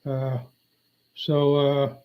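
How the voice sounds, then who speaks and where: A man's voice: medium pitch, monotone, extremely slow, smooth. An older man rambling on a live stream, meandering and aggrieved, often losing his train of thought. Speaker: livestreamer. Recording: dull lo-fi audio.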